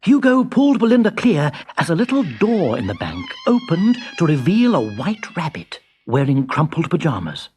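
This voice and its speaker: A man reads a children's story as a scripted narration. His delivery is expressive and dramatic, swooping widely in pitch, over music. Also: moderate pace, few pauses.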